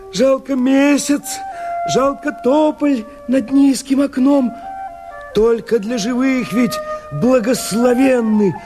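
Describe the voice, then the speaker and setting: High-pitched, desperate rebel. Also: male voice. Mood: wistful defiance. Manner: impassioned, declamatory. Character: desperate male rebel pleading to live. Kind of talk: theatrical radio verse declamation. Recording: radio play with music underneath. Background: music.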